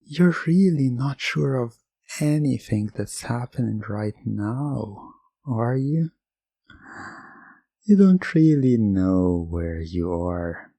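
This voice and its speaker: A man giving soft, commanding, repetitive hypnotic suggestions in an ASMR roleplay, close to the mic. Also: medium pitch, vocal fry, no room sound.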